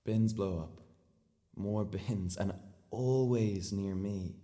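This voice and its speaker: An actor playing a naive, brooding seventeen-year-old boy in a confiding, halting radio play monologue. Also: fairly low pitch, warm tone. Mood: brooding, listing. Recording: long hall-like reverb.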